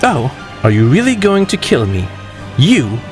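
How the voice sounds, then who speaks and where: A man's voice: medium pitch, huge pitch swings, a little slow, faint music behind. A man acting a sneering, wildly swooping fantasy tyrant. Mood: sneering contempt. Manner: sneering, theatrical, contemptuous. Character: cruel, arrogant male tyrant swordsman, sneering and defiant. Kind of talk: fantasy audio drama fandub acting.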